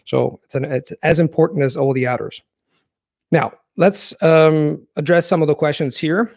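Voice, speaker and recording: Earnest man, higher-pitched, dry sound. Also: steady tone, moderate pace, gravelly, a little mellow, no room sound. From Italy. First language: Italian. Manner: thoughtful and earnest, explaining at length. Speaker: language-learning channel host. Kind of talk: live Q&A, reading viewer questions and answering.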